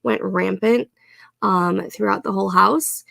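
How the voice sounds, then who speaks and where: A woman in her thirties hosting a casual co-working stream, chatting slowly and a little nasally as she works through her planner. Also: mid-range voice, fairly even intonation, gravelly, a bit bright, dry recording, studio quality. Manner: slow, chatty, talks to herself while setting up a planner. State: congested, a bit nasal. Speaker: co-working livestream host. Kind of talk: casual chat while working.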